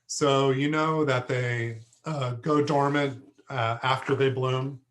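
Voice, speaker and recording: A man teaching a plant class who is losing his voice, hoarse and halting, often stopping to clear his throat. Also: mid-range voice, fairly even intonation, fairly bright tone. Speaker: horticulture instructor. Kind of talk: lecture to a class.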